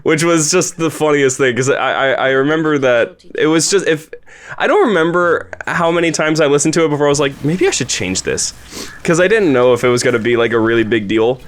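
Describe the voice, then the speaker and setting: A man's voice: on the high side, brisk. A man with an informal, rambling, appreciative way of talking, hosting a casual podcast commentary chat. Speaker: host and series creator interviewing a voice actor.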